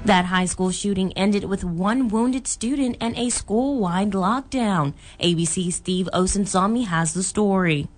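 A woman's voice: medium pitch, measured pace, hardly any pauses. An American woman working as a radio news anchor, reading scripted bulletins.

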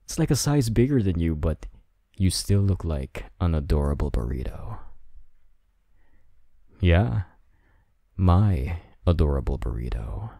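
Deep, gravelly, slow-talking man. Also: very animated, no room sound, clean studio sound. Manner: soft, intimate and teasing, with low whispers. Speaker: voice actor in a roleplay audio.

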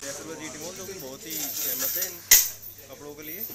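A man's voice: on the high side, fairly quick, over loud music. A young man from India giving a chatty guided vlog tour, riding through streets and walking through a mall while talking into a phone camera. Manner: chatty guide, repeatedly addressing friends. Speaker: vlogger. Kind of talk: casual travel vlog narration. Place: outdoors on a motorbike, then inside a busy mall. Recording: loud road and crowd noise.

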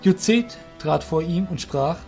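A man's voice: a little high, expressive, a little slow, creaky, darkish tone, faint music behind. A man reading scripted fantasy story narration as the narrator of an audio drama.

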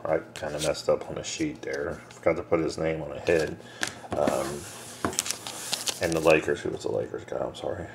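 A man breaking a box of trading cards on camera, reading out names and teams in a low mumble, casual and unhurried. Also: fairly even intonation, creaky. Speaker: card breaker. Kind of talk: casual card-break commentary. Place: small room indoors. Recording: noisy room with card shuffling.

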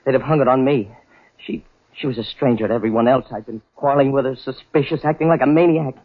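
A bitter, remorseful widower played by a man, husky and middle in pitch. He speaks with halting anguish in 1940s melodrama style on a muffled radio recording. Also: natural voice. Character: bitter, distraught widowed husband, obsessive and remorseful.

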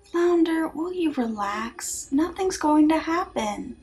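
Woman playing teenage cartoon mermaid, curious, carefree, teasing, very slow phrasing, high-pitched, fan dub. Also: animated, darkish tone, slight background noise. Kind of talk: fan dub of an animated film.

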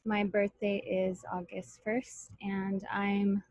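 A woman in her twenties from West Texas introducing herself on a video call, calm and unhurried. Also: medium pitch, no room sound. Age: 20s. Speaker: participant. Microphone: laptop webcam on video call.

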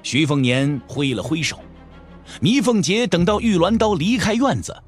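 Male storyteller, dramatic scripted narration, martial-arts audio drama, slightly high voice, very animated intonation. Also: normal speed, slightly rough, faint music behind.

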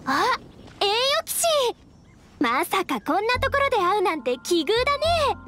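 A woman's voice: very high-pitched, sing-song, a little slow, bright, forceful tone, faint music behind, full character voice. An actress playing a perky, friendly teenage girl scout knight in a video game, chirpy and eager. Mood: cheerful surprise. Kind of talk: anime video game dialogue.

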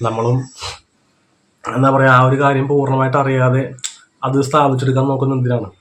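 A man with a Kerala accent talks through his reactions off the cuff. His delivery is very quick and stays on a fairly flat pitch. He explains as he goes, often checking that the listener has understood. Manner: explanatory, repeats check-in tag questions. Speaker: reaction video commentator. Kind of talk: unscripted reaction commentary.

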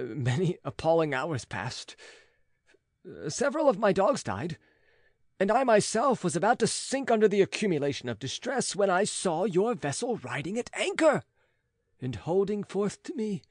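Theatrical, husky man reading gothic fiction. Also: high voice, dry recording, clean studio sound. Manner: theatrical, emotive, with drawn-out words and dramatic pauses. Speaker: audiobook reader. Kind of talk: dramatic literary narration of a gothic novel.

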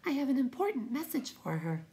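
A woman voicing a timid, embarrassed little will-o'-the-wisp spirit, polite and halting, for a storybook. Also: high-pitched, sing-song, dark tone, pitched above the performer's own voice. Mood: flustered.

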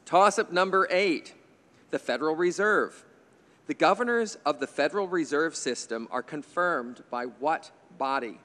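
A man's voice: on the high side, animated, a little slow, a bit bright, big-room sound. A man in his fifties moderating a quiz bowl from a studio desk mic, reading questions with chatty, jokey asides. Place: indoor TV studio stage. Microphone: desk microphone on a stand.

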